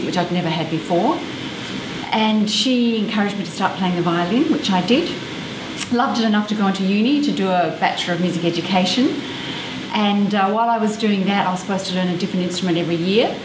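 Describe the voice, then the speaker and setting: Australian woman musician, middle pitch, candid and enthusiastic, recorded in an echoey room. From South Australia. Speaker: orchestral double bass player. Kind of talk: casual interview. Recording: echoey room with background noise.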